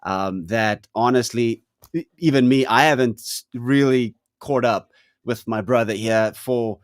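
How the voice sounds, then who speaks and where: A man from South Africa hosting a casual video-call conversation, talking in a halting way with many fillers and restarts. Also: mid-range voice, no room sound, studio quality. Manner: hesitant, lots of ums and restarts. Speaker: host.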